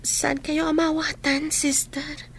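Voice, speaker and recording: A woman's voice: sits high, little pitch movement, takes it slowly, gravelly, exaggerated voice. A woman with a northern Philippine accent playing an earnest, wistful young trainee in a radio drama. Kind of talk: village radio drama acting.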